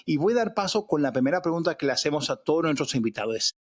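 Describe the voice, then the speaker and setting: A man's voice: fairly high pitch, lively intonation, quick pace. A man hosting a podcast interview, introducing a guest in an enthusiastic, effusive way. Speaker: podcast host.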